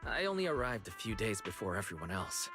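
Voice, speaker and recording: Modest young man, bit low voice, anime game, music clearly behind. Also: big pitch swings, fairly quick. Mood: friendly, modest. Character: modest, friendly young man, video store keeper. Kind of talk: anime-style video game voice acting.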